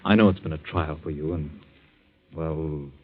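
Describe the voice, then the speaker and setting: An actor playing an earnest, aggrieved husband in a theatrical 1940s radio drama. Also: male voice, very deep voice, steady tone, unhurried. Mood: tender, uneasy. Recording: muffled 1940s radio recording.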